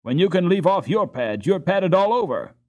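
A man voicing a small, squeaky, indignant boy in a children's storybook. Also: sing-song, moderate pace, darkish tone. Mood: indignant, defiant. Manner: indignant, defiant. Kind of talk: children's storybook character acting.

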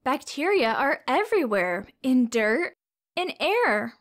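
A woman's voice: high voice, big pitch swings, slow. A woman reading a storybook aloud to young children as a teacher, expressively, with simple questions and explanations.

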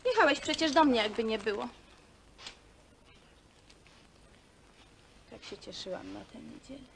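A superstitious, naive young sweetheart from an old film drama. She gushes and rambles in a highish voice, folksy and fretful, over faint soundtrack noise. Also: animated, fast talker, creaky. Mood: fussing, anxious. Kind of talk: naturalistic film acting.

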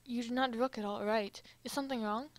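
A woman voicing a polite, earnest male anime character with formal, measured speech in an amateur fan drama. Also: fairly high pitch, steady tone, dry recording, natural voice. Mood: polite concern. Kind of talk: amateur fan dub roleplay.

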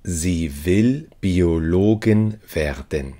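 Slow, deep-voiced man reading phrases. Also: lively intonation, dark tone. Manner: reads each phrase slowly and repeats it. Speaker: language teacher.

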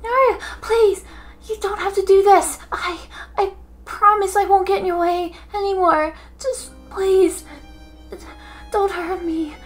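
Woman acting a shrill, terrified girl. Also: steady tone, slow pace, soft background music. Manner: shrill, frantic begging. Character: scared, dying girl begging for mercy.

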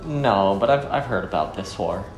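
A weary, brooding former soldier, acted by a man in a visual novel. His voice is low and his delivery earnest and measured. It sounds in an echoey room with music underneath. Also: lively intonation, lightly acted. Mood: regretful, weary. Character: weary, brooding male ex-soldier turned fisherman.

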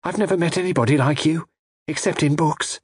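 A man voicing an anxious young lady in an audiobook, with a breathy, emotional, girlish manner. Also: fairly high pitch, fairly quick, voice pushed up. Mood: admiring.

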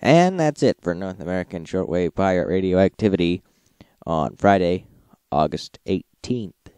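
Male host for informal log recap: mellow, dry-recorded, matter-of-fact delivery. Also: deep voice, lively intonation, moderate pace, clear, resonant tone, no echo.

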